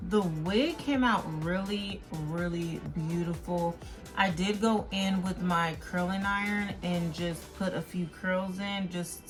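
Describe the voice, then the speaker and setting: A woman giving a casual review to viewers as a beauty vlogger, talking emphatically and often doubling her intensifiers. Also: medium pitch. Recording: music playing behind the voice. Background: background music.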